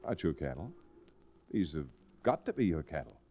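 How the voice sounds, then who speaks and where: An actor playing a wry, confident young lawyer in an old-time radio Western, brisk and emphatic. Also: male voice, rumbling low, sing-song, mellow, full character voice. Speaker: lead actor and first-person narrator.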